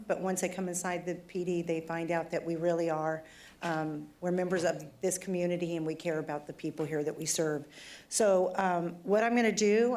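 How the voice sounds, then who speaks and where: A woman police chief giving a formal presentation to a city council, with a voice that is going hoarse as she speaks in a plain, conversational way. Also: fairly low pitch, darkish tone. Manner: conversational and plainspoken, some fillers. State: losing her voice, throat trouble.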